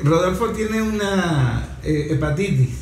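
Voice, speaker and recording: Un hombre con acento venezolano que modera un panel en tono conversacional. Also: male voice, high-pitched, expressive, unhurried. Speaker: host, moderator. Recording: echoey room with faint background noise.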